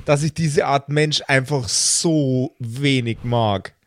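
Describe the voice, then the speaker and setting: A man co-hosting in casual banter, halting and repeating words for emphasis. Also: mid-range voice, no room sound.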